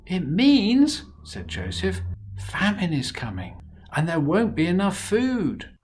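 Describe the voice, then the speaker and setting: A man reading a Bible story to children expressively and dramatically, moving between hushed and emphatic delivery. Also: on the high side, breathy edge.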